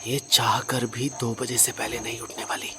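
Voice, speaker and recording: A man's voice: medium pitch, level tone, normal speed, pushed and bright. A man playing an uneasy, wry young guest in an audio drama, often muttering as if to himself. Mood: puzzled.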